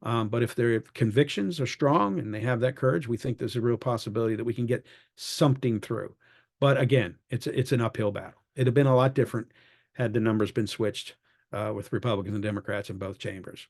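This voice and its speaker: A man's voice: mid-range voice, fairly quick, darkish tone. A man leading an online meeting, giving a conversational update and answering questions with many fillers and restarts. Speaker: advocacy group leader.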